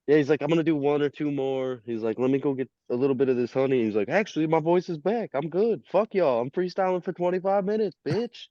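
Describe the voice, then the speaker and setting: A man's voice: a little high, quick pace, few pauses, warm tone, no echo. A man chatting on a podcast, telling stories animatedly, acting out quotes, swearing and laughing often. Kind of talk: casual podcast chat. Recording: dull lo-fi sound.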